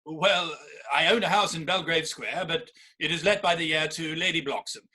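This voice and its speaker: A man plays an earnest young gentleman in a period stage comedy. His voice is fairly high and bright, with a polite, slightly hesitant, deferential way of answering. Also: steady tone, moderate pace, husky. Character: earnest, polite young Victorian gentleman suitor, aged twenty-nine. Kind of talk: theatrical comedy of manners reading. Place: virtual performance from home in isolation.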